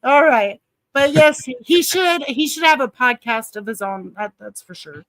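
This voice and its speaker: A woman's voice: high-pitched, lively intonation, moderate pace, fairly bright tone, no echo, poor audio. A woman hosting a casual livestream chat, playful and chatty, laughing often. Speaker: host.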